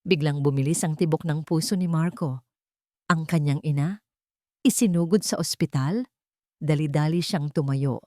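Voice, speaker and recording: A woman narrating a dramatic story in a steady, scripted storytelling manner. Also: deep voice, moderate pace, pauses often, no echo, clean studio sound.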